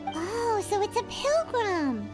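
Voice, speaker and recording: A woman voicing a tiny, chirpy girl fairy companion in an anime game, squeaky and childlike, cheeky and blunt. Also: sing-song, slow, music in the background, exaggerated voice. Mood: curious, wry. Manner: exclamatory, blunt.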